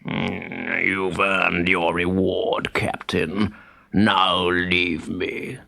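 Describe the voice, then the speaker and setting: A man voicing a greedy, pompous toad baron in a children's audiobook, with a drawling, gloating, croaky delivery. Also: low voice, level tone, extremely slow, sharp tone, voice pushed down, exaggerated voice. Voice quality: gravelly, croaking. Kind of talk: audiobook character voice.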